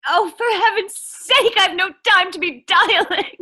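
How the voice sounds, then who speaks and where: A very high, piercing voice for a hysterical, demanding wife left alone at night. She stammers and pleads melodramatically, drawing her words out slowly, in 1940s suspense radio style. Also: sharp tone, exaggerated voice. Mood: impatient, pleading.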